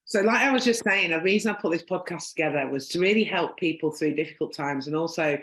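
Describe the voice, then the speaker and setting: A woman's voice: sits low, fast talker, fairly bright tone. A woman with a Northern English accent, hosting a podcast interview in a chatty, rambling way full of "um" and "like". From Northern England. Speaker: podcast host. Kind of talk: casual conversational interview. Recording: echoey room.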